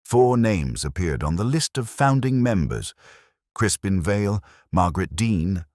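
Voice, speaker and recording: A man narrating a scripted detective story as a brooding police inspector, in a measured, solemn, literary way. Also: lowish voice, lively intonation, darkish tone, no echo, studio quality.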